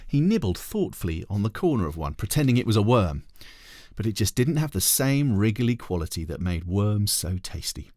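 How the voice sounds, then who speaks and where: A man with a lowish voice reads a children's storybook aloud. His delivery is expressive and very animated, leaning on words for dramatic effect. The sound is dry, with little room around it. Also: moderate pace, no echo. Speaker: teacher reading aloud to children.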